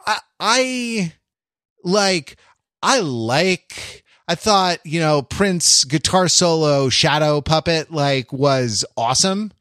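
A man hosting a podcast in casual discussion, talking in a halting, meandering way as he searches for words. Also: on the high side, lively intonation, bright, forceful tone, dry recording, clean studio sound. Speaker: podcast host. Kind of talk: casual discussion of television and pop culture.

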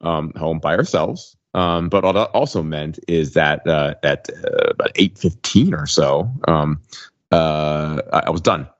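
Man, thirties, deep voice, podcast co-host, casual storytelling, measured, self-deprecating, slightly muffled lo-fi mic. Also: dry recording. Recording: slightly dull, lo-fi microphone sound.

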